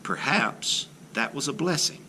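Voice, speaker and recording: A man voicing a gentle, caring village wife in a storybook, speaking softly. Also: medium pitch, lively intonation, unhurried, gravelly, a little hiss or hum, voice pushed up, exaggerated voice. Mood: gentle, concerned. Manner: soft, gentle, quietly questioning. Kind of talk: storybook read-aloud character voice.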